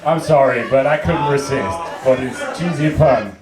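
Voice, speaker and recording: A young man from Austria with an Austrian accent, German his first language, performing a deadpan, self-mocking stand-up set with many fillers and restarts. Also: medium pitch, moderate pace, very noisy. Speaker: stand-up comedian. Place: comedy club stage. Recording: roomy club sound from a distant mic, loud crowd.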